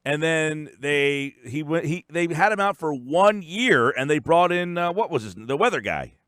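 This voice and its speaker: A man's voice: on the high side, animated, normal speed, fairly bright tone, no echo, studio quality. An American man hosting a sports talk radio show in a casual, conversational style.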